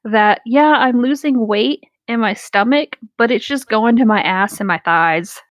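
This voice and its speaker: Female casual talker, higher-pitched, frank and profane storyteller, dry recording. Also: a little slow, dry recording. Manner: candid, animated storytelling with swearing. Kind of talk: casual chat among friends.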